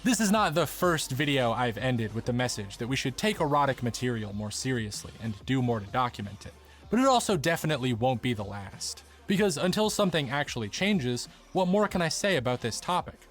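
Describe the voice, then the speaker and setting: A man's voice: medium pitch, sing-song, brisk, a bit bright, soft background music. A man narrating a scripted documentary-style video essay in an earnest, articulate manner.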